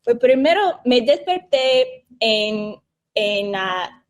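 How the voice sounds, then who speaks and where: Woman, American accent, non-native speaker, slow, hesitant, searching for words. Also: on the high side, sing-song. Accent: American English. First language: English. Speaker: interview guest. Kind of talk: interview answers in a second language.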